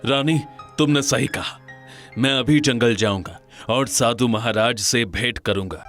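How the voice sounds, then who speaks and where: Guy doing a noble king in a kids cartoon story, earnest n formal, slow delivery. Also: mid-range voice, fairly bright tone, faint music behind. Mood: resolved. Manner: formal, measured, respectful. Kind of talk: Hindi cartoon moral story acting.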